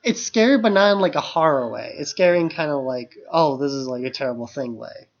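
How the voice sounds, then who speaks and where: A man's voice: on the high side, lively intonation, brisk. Loose-talking male podcaster, muffled lo-fi audio. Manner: loose, filler-heavy phrasing with frequent "like". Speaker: podcast co-host. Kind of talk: casual film discussion.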